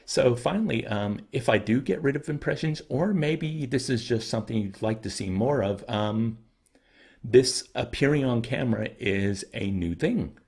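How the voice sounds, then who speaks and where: A man hosting his own channel, talking casually and thoughtfully to his viewers, often pausing to weigh his words, his throat a little dry and scratchy. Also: mid-range voice, animated, darkish tone, slightly rough. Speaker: channel host.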